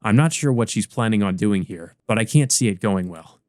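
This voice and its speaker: A man's voice: on the low side, animated, a little fast, darkish tone, no room sound, studio quality, lightly acted. A man playing a weary, wounded teenage boy who narrates his own visual novel story in the first person. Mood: weary, worried.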